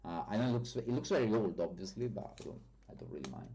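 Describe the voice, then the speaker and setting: A man's voice: on the low side, lively intonation, fast, creaky, mellow. A man with a strong Italian accent and Italian as his first language, chatting casually on a live stream as a developer answering viewer questions, often correcting himself. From Italy.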